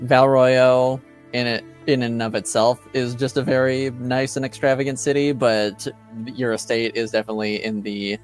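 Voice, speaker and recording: A man narrating a tabletop game as game master, hesitant, with trailing phrases. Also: mid-range voice. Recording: faint music behind.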